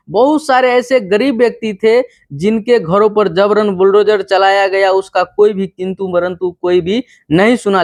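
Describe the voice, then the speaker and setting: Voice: medium pitch, no room sound. A Bihari-accented presenter with a mid-range voice, recorded dry and free of room sound. The delivery is that of an informal news explainer, moving between reading aloud and plain commentary. The listener is addressed directly and taught step by step. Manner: didactic, repeatedly addressing the listener.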